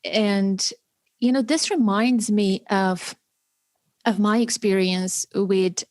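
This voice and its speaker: A woman's voice: medium pitch, slow pace, darkish tone, no room sound, clean studio sound. A woman in her forties from Armenia, hosting a podcast and speaking earnestly and reflectively. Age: 40s. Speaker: podcast host. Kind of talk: podcast interview.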